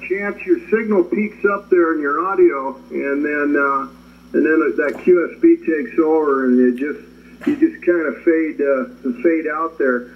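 A man's voice: fairly high pitch, unhurried. A man chatting casually as an amateur radio operator, hesitant and rambling, heard through a shortwave radio transmission. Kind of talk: casual radio contact chat. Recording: muffled ham radio audio, faint static. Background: radio static.

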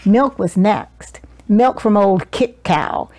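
An older woman reading a children's picture book aloud in an expressive, deliberate and dramatic storytelling manner. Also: mid-range voice. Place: small cosy living room indoors. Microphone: small clip-on lapel mic.

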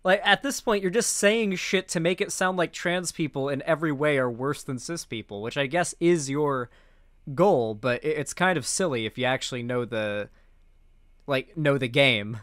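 A young man giving off-the-cuff political reaction commentary as a streamer, halting and repeating himself, sardonic and sweary. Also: fairly high pitch, fairly bright tone, dry recording, clean studio sound. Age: young adult. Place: indoor streaming room.

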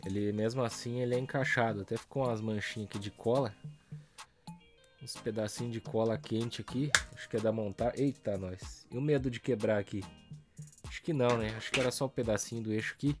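Male hobby vlogger, Brazilian accent, hoarse and stuffy nasal voice, relaxed chatty slang. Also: on the low side, brisk, music in the background. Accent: Brazilian Portuguese. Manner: relaxed slangy chatter, talks while working. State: hoarse and congested from a cold. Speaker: toy truck customizing YouTuber. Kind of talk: casual hands-on tutorial narration.

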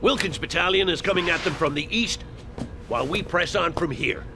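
Actor as a gravelly, harried army colonel. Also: male voice, fairly high pitch, brisk, lightly acted. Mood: urgent. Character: stern harried army colonel under pressure. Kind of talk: military briefing drama. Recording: clear vehicle noise behind.

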